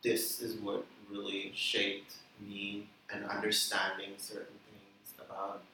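A young man from the Bahamas, chatting as a guest in an interview, full of fillers and quick laughs. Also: fairly low pitch, monotone, pushed and bright. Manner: chatty, laughing, many "like" and "you know" fillers. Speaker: musician guest. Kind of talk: casual interview chat. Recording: echoey room, distant mic, light noise.

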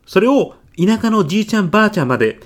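A man's voice: high-pitched, big pitch swings, quick pace. A man playing a polite, talkative, self-important dad in a comedic audio drama. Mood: earnest, proud. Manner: polite, talkative, matter-of-factly proud. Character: earnest, self-important stay-at-home-working dad, celebrity gossip writer.

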